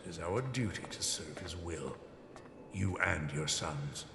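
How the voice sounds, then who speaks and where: A menacing zealot with a very low, gravelly voice. He speaks imperiously and piously in a reverberant hall, like a measured game villain. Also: expressive, noisy background, exaggerated voice. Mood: zealous, menacing. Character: zealous, menacing male enforcer devoted to his master. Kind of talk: video game voice acting. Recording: echoey hall reverb, loud music behind.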